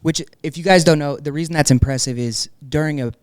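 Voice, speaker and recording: A man's voice: a little high, fast talker, a little mellow, clean tone, dry recording, studio quality. A man hosting a podcast, asking casual, slangy questions with some "um" fillers. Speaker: podcast host. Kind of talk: casual interview chat. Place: podcast studio. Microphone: podcast microphone.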